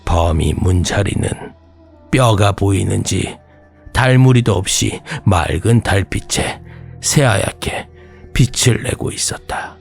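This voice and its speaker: Male horror storyteller, low voice, slow suspenseful scripted read with hushed drops, music underneath. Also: vocal fry. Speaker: horror radio narrator. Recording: music bed behind voice. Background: background music.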